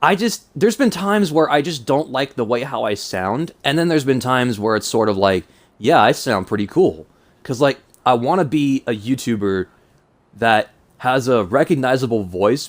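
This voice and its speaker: A man's voice: mid-range voice, expressive, normal speed. A man of twenty-two with a mild cold and stuffed-up nose, talking casually and self-consciously in a hesitant, rambling vlog. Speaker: YouTuber.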